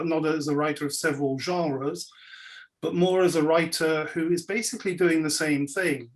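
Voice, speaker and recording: A man who grew up in Belgium with French as his first language, giving a wry, measured talk about his writing life over a video call from his home. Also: on the high side, rough recording. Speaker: guest speaker, scholar and writer. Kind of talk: relaxed lecture-style talk. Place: home, indoors.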